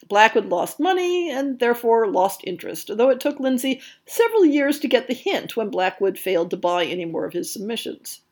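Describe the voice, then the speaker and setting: A woman with a fairly high voice narrates a scripted history piece as a podcast host. Her intonation is very animated, rising and dipping across phrases, while her delivery stays measured and careful, like prepared storytelling.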